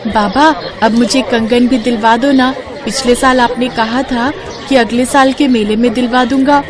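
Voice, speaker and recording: A woman voicing an eager ten-year-old village girl with a pleading, excitable manner in a Hindi animated story. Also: a little high, moderate pace, crowd noise, pitched above the performer's own voice, close to an everyday voice.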